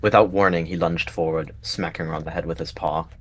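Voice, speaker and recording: A man narrates a scripted animal fantasy tale. His voice is low, and he reads in prose storytelling style. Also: steady tone, fairly quick, fairly bright tone, a little hiss or hum. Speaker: narrator and roleplayer.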